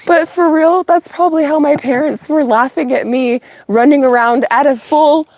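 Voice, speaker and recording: A middle-aged woman from California hosting a livestream, telling a rambling family story in a casual, animated way and often breaking into laughter. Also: high-pitched, moderate pace. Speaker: channel host.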